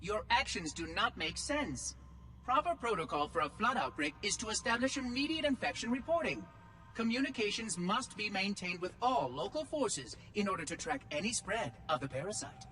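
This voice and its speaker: High, prissy ancient machine oracle. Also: male voice, sing-song, moderate pace, sharp tone, slight background noise, heavily acted. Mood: officious, urgent. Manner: prissy, precise, officious. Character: fussy, prim, ancient machine oracle, guardian of an installation. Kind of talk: game cutscene acting. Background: music.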